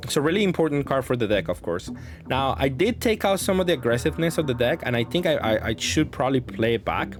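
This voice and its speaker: Male gaming commentator, medium pitch, off-the-cuff and slightly hesitant, clear music bed behind the voice. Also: big pitch swings, fast. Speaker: card game content creator and host. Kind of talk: casual unscripted gameplay commentary.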